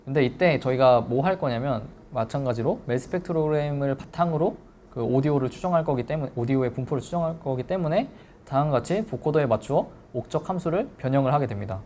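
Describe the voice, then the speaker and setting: A seminar lecturer with a mellow, relaxed tone and a medium-pitched voice. The delivery is explanatory and methodical, walking listeners through technical material step by step. Faint noise sits behind the voice. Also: fast talker.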